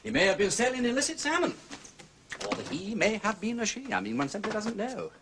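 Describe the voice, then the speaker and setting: An actor playing a genial, shrewd, aristocratic English gentleman sleuth with an upper-class accent, urbane and probing, in a period detective drama. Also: high voice, sing-song, brisk, slight background noise. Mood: persuasive. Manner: affable, urbane, probing with questions.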